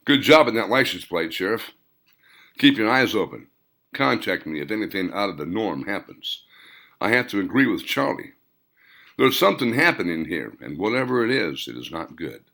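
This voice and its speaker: A man playing a stern state police captain who speaks low, grave and guarded. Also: very animated, moderate pace, creaky, sharp tone, heavily acted.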